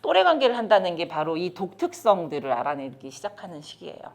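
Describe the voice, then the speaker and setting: A woman's voice: lowish voice, fast talker. A middle-aged woman, giving a training lecture to caregivers and putting questions to the audience. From South Korea. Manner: lively, talks straight to the audience with questions. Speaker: lecturer on child development. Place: lecture room.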